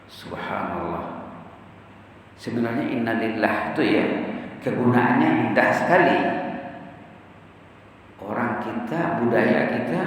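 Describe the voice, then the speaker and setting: A man's voice: medium pitch, fairly bright tone. A man giving an Islamic sermon to a congregation as an ustaz, in a didactic manner, asking rhetorical questions and answering them, recorded in a mosque hall. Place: large mosque prayer hall. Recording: very echoey mosque hall, distant mic, faint noise.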